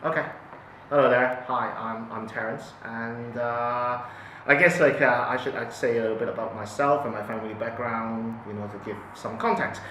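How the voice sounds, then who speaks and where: A man in his forties from Hong Kong, a native Cantonese speaker, talking unscripted about his family and often pausing, restarting and filling with "um" and "like". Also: medium pitch, fairly even intonation, sharp tone, distant mic, a little hiss or hum. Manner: hesitant, many restarts and fillers. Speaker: parent sharing his experience. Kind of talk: unscripted talk to camera. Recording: echoey room, mic set back.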